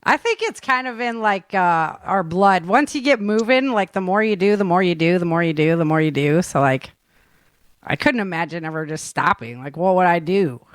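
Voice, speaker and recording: A woman's voice: on the low side, moderate pace, no echo, studio quality. Casual, giggly woman podcast host. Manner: giggly, informal, rambling. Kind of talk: casual chat.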